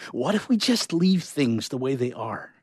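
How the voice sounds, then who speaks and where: A man's voice: medium pitch, sing-song, unhurried, darkish tone, husky, no echo, clean studio sound, pitched below the performer's own voice. A man voicing an anxious, hesitant scientist wife pleading in a dramatic short story.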